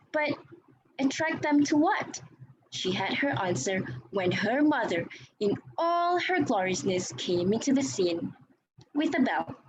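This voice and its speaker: A woman reading scripted narration for a dramatic reading, as an expressive storyteller. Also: fairly high pitch. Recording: clear background noise.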